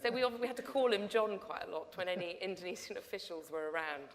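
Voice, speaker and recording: A woman's voice: fairly low pitch, fast. Chatty woman in a hall. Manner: chatty storytelling with restarts. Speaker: panel guest, documentary producer. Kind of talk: panel discussion anecdote. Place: lecture hall before an audience. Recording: long hall reverb.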